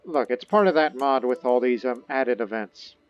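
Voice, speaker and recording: A man rambling through his gameplay commentary, thinking aloud and reading game text as he goes. His voice sits at an ordinary middle pitch. The audio is a little dull, with soft music underneath. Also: moderate pace, clear, resonant tone. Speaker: gaming video host.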